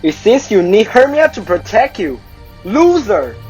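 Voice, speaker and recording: A woman's voice: mid-range voice, very animated, a little slow, darkish tone, soft background music. A woman playing a boastful, lovestruck young male Athenian suitor in an amateur radio drama, speaking emphatically. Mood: taunting.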